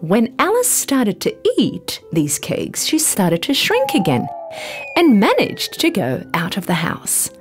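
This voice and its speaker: A woman reading a scripted bedtime story for children in an expressive, deliberate storytelling manner. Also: medium pitch. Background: background music.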